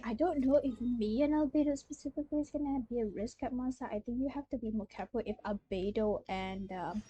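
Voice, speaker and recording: A woman's voice: a little high, warm tone, a little hiss or hum, low-quality recording. A young woman chatting casually on a game livestream, hesitant and rambling, often trailing off. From Malaysia. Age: young adult. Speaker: game streamer. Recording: game music behind the voice.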